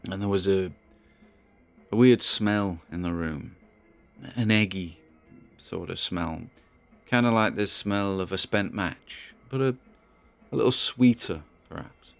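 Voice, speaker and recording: Very low male voice, British accent, halting and confiding, troubled grandson, acted by a man audio drama diary monologue. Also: gravelly, soft background music, exaggerated voice. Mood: brooding, uneasy.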